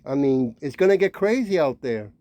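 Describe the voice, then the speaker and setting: A man giving an informal, admonishing sermon, talking conversationally with frequent checking tags and pausing to read scripture. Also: medium pitch, very animated, dark tone. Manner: conversational, peppered with "right?" and "okay?" tags; admonishing, warning. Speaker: religious street-style preacher and teacher.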